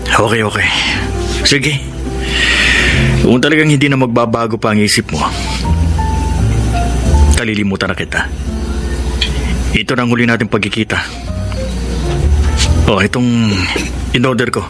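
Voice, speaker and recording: A scheming, possessive man who talks smooth and persuasive , as an actor voices him. He is the villain of a radio drama. Loud music plays under his lines. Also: mid-range voice, normal speed, pauses often, close to an everyday voice. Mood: pleading. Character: obsessive, scheming male suitor, villainous.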